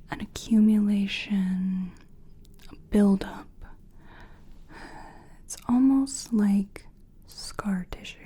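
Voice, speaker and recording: Casting: female ASMR whisperer, very breathy and soft-toned, playing a gentle, reassuring healer with deliberate delivery. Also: mid-range voice. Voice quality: breathy, mostly unvoiced whisper. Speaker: ASMR performer playing a soul-cleansing practitioner. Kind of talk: whispered ASMR personal attention roleplay.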